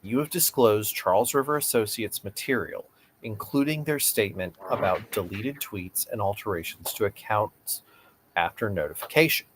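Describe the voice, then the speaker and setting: A man's voice: lowish voice, animated, moderate pace, slight background noise, natural voice, low-quality recording. A man playing a persistent, formal lawyer questioning an evasive witness in a read-aloud deposition. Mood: insistent.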